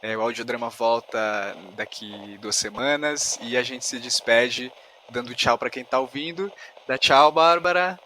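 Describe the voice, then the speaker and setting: Bright, effusive male podcast host. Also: mid-range voice, measured pace, slight background noise. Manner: enthusiastic, effusive. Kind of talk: podcast interview.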